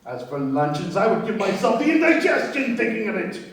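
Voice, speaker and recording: A man playing an agitated, indignant diamond merchant in a radio play, excitable and formal in his foreign-sounding phrasing. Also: sits high, fairly quick. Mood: aggrieved. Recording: very echoey, distant from microphone.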